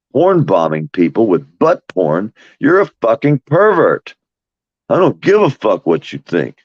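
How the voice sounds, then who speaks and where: A blunt, foul-mouthed man with a drawl rants to his livestream chat. His voice sits on the low side, and the stream audio is dry and dull, with little room sound. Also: no echo. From Florida. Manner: blunt, cursing, combative. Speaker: livestream host.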